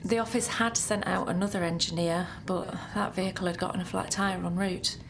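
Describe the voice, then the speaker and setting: An actress playing a shaken, regretful engineer who recounts a crash haltingly in a training film drama. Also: female voice, on the low side, fairly even intonation, creaky, noisy background. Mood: weary, reflective. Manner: halting, pausing mid-thought. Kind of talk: reflective first-person testimony in a training film drama.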